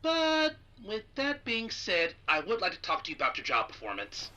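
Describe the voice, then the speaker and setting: Woman as bright, lively, placating boss. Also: mid-range voice, moderate pace, dull audio. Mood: reassuring. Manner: soothing, over-reassuring. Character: placating, falsely reassuring boss on a phone call. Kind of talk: audio drama dialogue.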